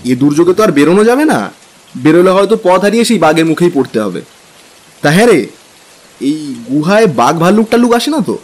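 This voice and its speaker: A man acting an animated, high-voiced young husband. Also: fairly quick. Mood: resigned, anxious. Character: young middle-class husband, grumbling, exasperated traveller. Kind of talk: audio drama acting.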